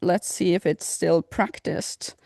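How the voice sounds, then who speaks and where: A woman's voice: fairly low pitch, steady tone, slow pace, creaky, dark tone, dry recording. Casual woman podcaster, dry close sound. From Norway. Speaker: podcast co-host. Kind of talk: casual chat.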